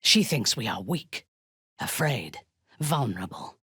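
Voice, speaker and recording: A low, husky, ruthless drow commander. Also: female voice, very animated, pushed and bright, no echo, clean studio sound, heavily acted. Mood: grave, urgent. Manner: commanding, deliberate, menacing. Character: ruthless, imperious female drow warband commander. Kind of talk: dramatic video game voice acting.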